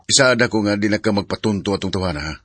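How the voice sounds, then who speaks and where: A man's voice: lowish voice, rapid-fire, fairly bright tone. A male actor with a Cebuano accent playing a protective, scolding young best friend in a Bisaya radio drama, speaking emphatically. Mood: scolding, caring. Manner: emphatic, admonishing, colloquial.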